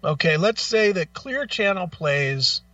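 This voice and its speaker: A man's voice: sits high, animated, slow, bright, forceful tone, dry recording, far from the mic, highs cut off. A man hosting a talk show, speaking casually and bluntly with opinions, swearing freely and sounding exasperated and emphatic.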